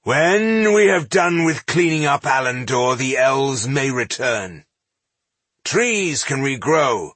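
A man's voice: medium pitch, animated, slow pace, fairly bright tone, no echo, voice pushed up. A man voicing a proud, callous male dwarf warlord who styles himself king, speaking dismissively in a fantasy audiobook. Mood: defiant. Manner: haughty, dismissive.

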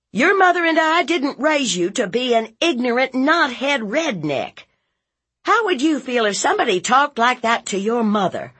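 A woman's voice: sits high, a bit bright, slightly rough, pitched above the performer's own voice, exaggerated voice. A woman voicing a fierce, blunt, protective aunt in a story, scolding in an emphatic, folksy, interrogating way. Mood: outraged scolding.

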